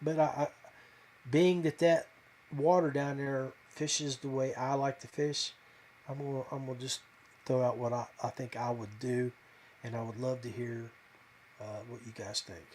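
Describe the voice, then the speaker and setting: A man with a mid-pitched voice hosts a podcast in a casual, unscripted way. He thinks out loud, hesitating as he goes, and comes across as modest and self-deprecating. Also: little pitch movement, moderate pace, a little hiss or hum. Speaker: podcast host. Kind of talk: casual fishing talk to viewers.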